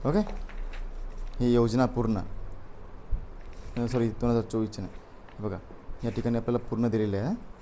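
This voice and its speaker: A man's voice: mid-range voice, fairly even intonation, normal speed, pauses often, warm tone, a little hiss or hum. A man teaching a live exam-coaching class, going over a past paper and prodding students with frequent "okay" and "right" fillers. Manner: repeats "बरोबर आहे" and "ओके" as fillers, prods students directly.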